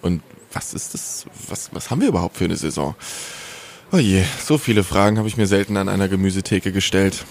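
Man, podcast host, deep gravelly voice, scripted narration, chatty rhetorical questioning, faint music underneath. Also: lively intonation, fairly quick, a little hiss or hum.

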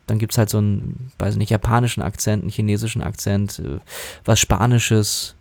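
A man speaks in German about dubbing work, in a low-ish voice with halting, searching sentences. The sound is dry and close, with no room around it. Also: steady tone, gravelly, darkish tone, no echo. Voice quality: relatively high voice. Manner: thoughtful, hesitant, many fillers and restarts. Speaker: voice actor guest. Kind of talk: casual interview talk.

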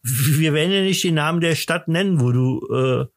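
A man's voice: fairly high pitch, normal speed. A man in his fifties from eastern Germany hosting a podcast, chatting in a casual, rambling, jokey way and poking fun at himself. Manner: jokey, self-deprecating. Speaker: podcast host.

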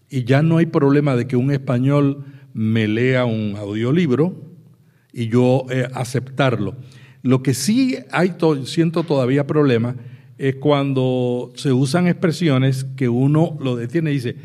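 A man from Puerto Rico speaking on a conference panel, telling anecdotes with pauses and restarts. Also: mid-range voice, a little mellow. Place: conference hall with audience.

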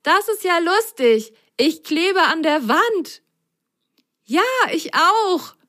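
A woman voicing a cheerful, curious little girl sock in a children's story, high and playful. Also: big pitch swings, slow pace, fairly bright tone, full character voice.

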